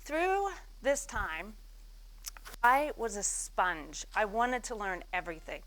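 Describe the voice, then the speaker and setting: A woman's voice: medium pitch, very animated, slow pace, a bit bright, rough recording. A woman in her forties giving a business talk on stage, telling her story with self-mocking humor and acting out the people she quotes. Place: event stage before an audience.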